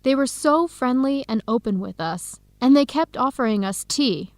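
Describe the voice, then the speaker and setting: An actress playing an earnest young American woman researcher in a radio drama, with clear, expressive, carefully enunciated speech. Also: high voice, normal speed, dry recording. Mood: enthusiastic. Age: young adult. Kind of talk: scripted radio drama dialogue.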